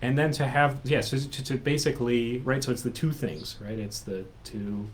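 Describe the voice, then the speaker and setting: A man's voice: medium pitch, fairly even intonation, normal speed. A man co-hosting a casual chat, telling a personal story in a reflective, rambling way, often adding "right?". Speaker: music teacher, co-host.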